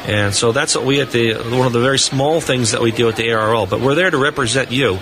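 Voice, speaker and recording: A man's voice: mid-range voice, quick pace. An American man speaking earnestly as a guest in an informal live radio interview, making appeals to listeners. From New England, United States. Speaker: interview guest, test engineer. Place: booth on busy convention floor. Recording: noisy convention hall floor, live broadcast.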